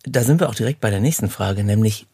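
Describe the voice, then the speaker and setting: A man hosting a relaxed, conversational interview, putting questions and remarks to his guest. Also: on the low side, quick pace, slightly rough, dry recording, studio quality. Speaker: interviewer, host.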